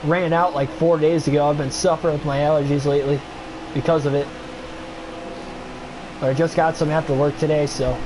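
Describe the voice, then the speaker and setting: A man's voice: a little high, a little fast, pauses often, background noise. Stuffy-nosed young man calling a sim race. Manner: excitable play-by-play, chatty asides to viewers. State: stuffy nose, voice getting worn. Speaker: streamer doing race commentary. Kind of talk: play-by-play sim racing commentary.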